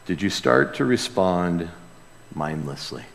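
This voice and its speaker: A man preaching and praying to a congregation, earnest in manner, swelling from hushed to emphatic. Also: sits low, animated, takes it slowly, creaky. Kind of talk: sermon and prayer to a congregation. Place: large church room or hall. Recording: reverberant hall sound.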